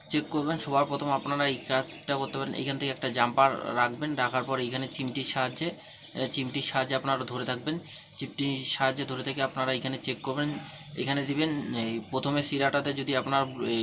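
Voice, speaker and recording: A man talks very quickly in a flat, even tone, walking through a hands-on tutorial step by step and repeating his instructions. His pitch is average, and clear noise runs through the home-made recording. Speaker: phone repair tutorial host.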